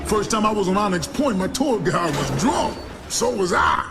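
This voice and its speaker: Easygoing soldier, high voice. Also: male voice, sing-song, normal speed, gravelly, over loud music, exaggerated voice. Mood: wry narrating. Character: easygoing, plain-spoken young male soldier. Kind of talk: video game narration and dialogue.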